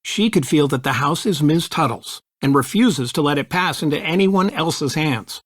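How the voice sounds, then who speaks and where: A man voicing a middle-aged American lawyer father in a video game, analytical and supportive in a measured way. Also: a little high, lively intonation, a bit bright, slightly rough, dry recording, studio quality. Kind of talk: video game dialogue acting.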